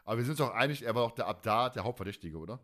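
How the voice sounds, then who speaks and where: A man's voice: medium pitch, rapid-fire, fairly bright tone. A man chatting casually as a podcast host, rambling and emphatic in colloquial speech.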